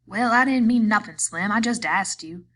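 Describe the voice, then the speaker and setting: A woman reading aloud, voicing a hot-tempered young man who gets loud and belligerent. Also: fairly low pitch, fairly quick. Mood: defensive.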